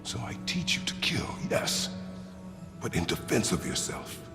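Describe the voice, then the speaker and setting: A man's voice: fairly low pitch, wildly swooping pitch, a little slow. An actor playing a stern, guarded warrior god and father in a video game, with commanding, terse delivery. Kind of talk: video game cutscene acting. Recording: long hall reverb under music.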